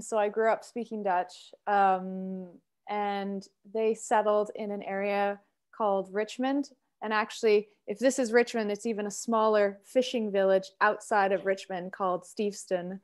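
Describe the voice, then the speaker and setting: A woman in her thirties from Canada, a singer and sax player, chatting as a guest on a video call from home in an expressive, storytelling way. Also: medium pitch, a little slow. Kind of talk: casual interview chat. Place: home room, indoors.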